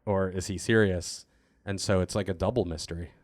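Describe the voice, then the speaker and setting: A man's voice: low-pitched, steady tone, fairly quick, darkish tone, no echo. A man speaking as a cast member in a casual Q&A, informal and joking, thinking aloud as he answers. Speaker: cast member of an improvised audio drama, answering questions. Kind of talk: casual Q&A chat.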